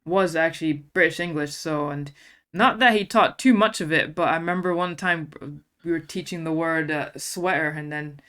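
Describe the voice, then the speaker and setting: Clear, bright-voiced woman chatting. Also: low-pitched, normal speed. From USA. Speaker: host. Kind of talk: casual conversational chat.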